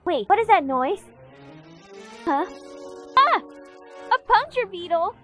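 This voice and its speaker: Spunky fairy teen played by a woman: very high, animated, brisk and plain-spoken, for a cartoon fandub. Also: highs cut off, faint music behind, exaggerated voice. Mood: urgent.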